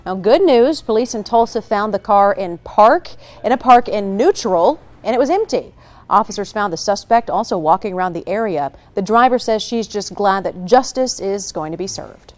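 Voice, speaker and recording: This is an American woman working as a television news anchor. She reads scripted stories in a broadcast style, at a medium pitch for a woman. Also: animated, normal speed, a little mellow.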